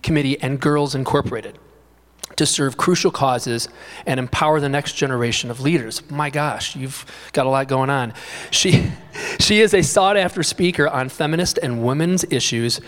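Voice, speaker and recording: Chatty male interviewer, long hall reverb. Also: fairly high pitch. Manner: friendly, informal, chatty. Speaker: host and interviewer. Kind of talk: live interview. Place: small community gathering room.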